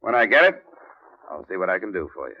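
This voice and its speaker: Tough sardonic private investigator, clipped and low, old radio crime show, dull lofi sound. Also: male voice, sing-song, fast talker, creaky, exaggerated voice. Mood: dry, skeptical. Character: tough, wry, wary freelance insurance investigator. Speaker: lead detective and narrator. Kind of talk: hard-boiled old-time radio acting. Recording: muffled vintage radio recording with music.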